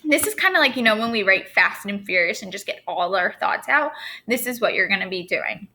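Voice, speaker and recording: A woman's voice: medium pitch, lively intonation, a little fast, sharp tone. A young adult woman teacher explaining a writing lesson to her students step by step in an upbeat way. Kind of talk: recorded classroom lesson.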